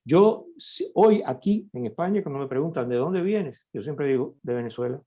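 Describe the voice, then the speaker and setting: A man who directs for television, speaking as a guest on a discussion panel. He explains his view reflectively and pauses to find his words. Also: mid-range voice, lively intonation, highs cut off. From Cuba.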